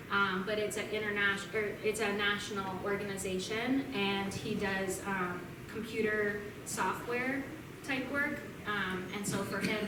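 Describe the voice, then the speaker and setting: A young woman from Vermont giving a casual talk and answering questions, chatty and upbeat, with frequent ums. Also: medium pitch. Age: young adult. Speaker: guest speaker at a club meeting. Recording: echoey room from a distance, noisy.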